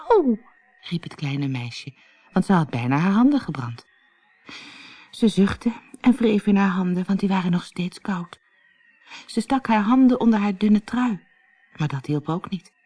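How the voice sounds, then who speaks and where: A woman narrating a scripted fairy tale as an audiobook, in an expressive, dramatic storytelling manner. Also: mid-range voice. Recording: music behind the voice. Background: music.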